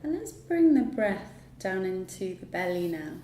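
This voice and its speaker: Woman, yoga nidra guide, soft mellow tone, soothing, unhurried, long pauses, roomy echo. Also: mid-range voice, very animated. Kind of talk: guided relaxation meditation. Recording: roomy echo, light background noise.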